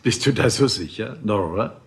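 An actor dubbing a sly, evasive Hollywood gossip reporter. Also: male voice, fairly low pitch, animated, moderate pace, husky, exaggerated voice. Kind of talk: German-dubbed crime drama.

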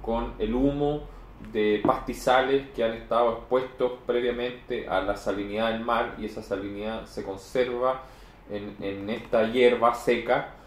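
A man's voice: mid-range voice, fairly even intonation, measured pace. Man explaining at length in an echoey room. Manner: knowledgeable, explains at length with many fillers. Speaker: beer reviewer. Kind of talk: casual chat. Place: home room with beer posters. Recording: echoey room with faint noise.

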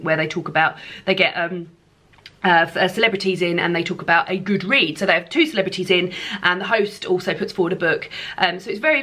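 A woman's voice: mid-range voice, fairly bright tone. A young British woman chatting casually to camera as a book vlogger, emphatic and gushing, rambling a little as she goes. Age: young adult. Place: indoor room lined with bookshelves.

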